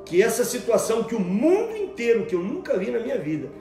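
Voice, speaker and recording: A man in his forties preaching a sermon from a table at home, animated and repeating words as he searches for them. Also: extremely high pitch, fast, echoey room, faint music behind. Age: 40s. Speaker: pastor. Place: room at home, at a table with Bibles.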